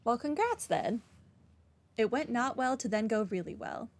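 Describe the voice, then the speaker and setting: A woman's voice: on the high side, lively intonation, normal speed. A woman doing casual playthrough commentary as a game streamer, chatting informally. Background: game music.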